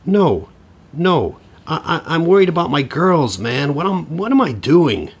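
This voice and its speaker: An actor playing a middle-aged divorcing suburban dad in a podcast drama, profane, sardonic and rambling. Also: male voice, on the high side, very animated, a little slow, husky, full character voice. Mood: frantic, pleading. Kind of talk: podcast audio drama acting.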